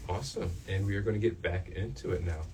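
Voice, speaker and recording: Low-voiced young man interviewing, slightly off-mic. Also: steady tone, dark tone. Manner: halting, informal, groping for phrasing. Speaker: student radio interviewer and host. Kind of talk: casual radio interview chat. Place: student radio studio. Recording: off-mic, distant sound with background noise.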